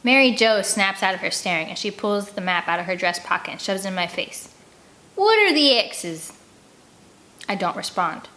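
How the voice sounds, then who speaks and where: A woman's voice: medium pitch, steady tone, a little fast, few pauses, sharp tone. A woman reading a first-person story aloud expressively, as an audiobook narrator. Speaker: audiobook reader.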